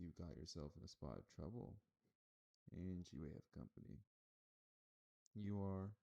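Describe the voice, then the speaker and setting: A man's voice: low voice, creaky, warm tone, lo-fi sound, exaggerated voice. A man playing a cocky, flirtatious, protective gunslinger in an audio roleplay, teasing as he threatens. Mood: flirty.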